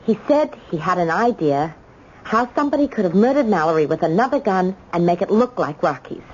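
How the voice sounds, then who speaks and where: An American actress in a radio mystery playing an earnest, insistent wife, speaking in a firm, pointed way. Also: female voice, on the low side, expressive, fairly quick, darkish tone. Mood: pleading, adamant. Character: earnest, insistent, loyal wife of accused man. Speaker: radio drama actor. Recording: dull, noisy old radio broadcast.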